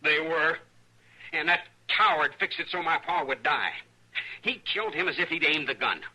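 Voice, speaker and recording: A young Western avenger with a rural American drawl, bitter and insistent. The voice is fairly high, sharp and husky. It has the sound of an old radio western. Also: male voice, animated, normal speed, heavily acted. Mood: bitter, resolute. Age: young adult. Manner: folksy, insistent, accusing. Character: young man bitterly bent on avenging his father. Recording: bright, lo-fi old radio broadcast.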